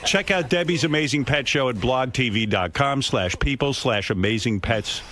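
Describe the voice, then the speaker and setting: A man's voice: medium pitch, normal speed, a crowd in the background. A man hosting a radio interview, teasing his guest and rattling off plugs in a wry tone. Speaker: radio host. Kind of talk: casual interview.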